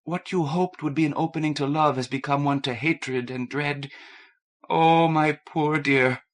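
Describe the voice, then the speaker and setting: Man voicing a gentle young woman, pitched up. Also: steady tone, a little slow, lightly acted. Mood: earnest, caring. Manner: eager, stammering when upset. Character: gentle, timid, warm-hearted young woman singer.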